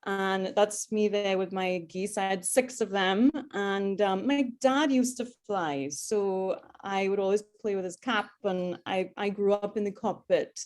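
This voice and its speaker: A woman's voice: mid-range voice, a little slow, hardly any pauses. Chatty woman telling her story. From Trinidad. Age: 30s. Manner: chatty, with frequent ums and restarts. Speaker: guest speaker, airline pilot. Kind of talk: personal story talk.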